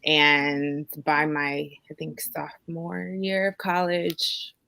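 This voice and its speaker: A young woman speaks in a low register with a bright edge. She takes her time, halting as she introduces herself on a casual panel. The sound is dry. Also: steady tone, vocal fry, clean tone, dry recording. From born in Jamaica, raised in Washington DC. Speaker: nurse panelist.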